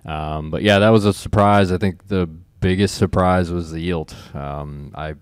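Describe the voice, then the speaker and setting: A man with a very deep, smooth voice explains crop conditions as an agricultural specialist. He talks in a laid-back way, chuckling and thinking aloud as he goes. The sound is dry and close. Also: steady tone, normal speed, no echo. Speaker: extension corn specialist. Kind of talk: casual expert chat.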